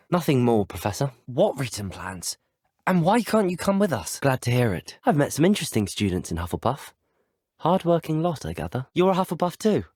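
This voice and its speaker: Casting: earnest British teenage wizard student, game protagonist; lively intonation, slightly husky, close dry sound. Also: male voice, medium pitch, no echo. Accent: British English. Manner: polite, articulate, well-spoken. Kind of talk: video game dialogue acting.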